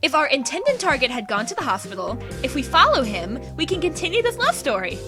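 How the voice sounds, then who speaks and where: A woman's voice: sits high, big pitch swings, a little fast. A woman playing a bossy, opinionated schoolgirl club leader in an anime-style audio drama, speaking assertively. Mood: decisive, eager. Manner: assertive, declarative. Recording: music behind the voice.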